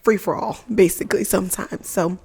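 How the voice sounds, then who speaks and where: A woman in her thirties whose voice is raspy and hoarse, with a gravelly edge. She chats in a casual, upbeat way on a live stream, repeating herself and filling gaps with fillers. She speaks softly into a close microphone. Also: mid-range voice, fairly even intonation, brisk. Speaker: livestream host, pumping educator. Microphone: close mic, talking softly.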